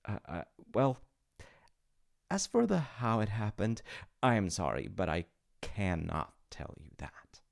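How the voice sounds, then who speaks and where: A flustered, chatty demigod of reincarnation, acted by a man, speaking straight to the listener in a comic roleplay. The voice is on the low side with a gravelly fry, and it swings animatedly up and down. The delivery is unhurried and halting, full of sheepish apologies and salesman-like coaxing. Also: big pitch swings, heavily acted. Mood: awkward, apologetic. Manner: awkward, stammering, apologetic salesman-like patter. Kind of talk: comedic audio roleplay addressed to the listener.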